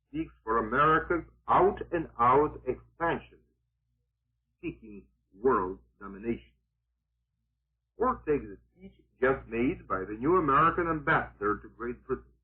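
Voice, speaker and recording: A man's voice: medium pitch, slightly rough. A man reading scripted 1940s radio political commentary in an emphatic, oratorical and sardonic manner. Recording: muffled, narrow-band vintage 1940s radio broadcast.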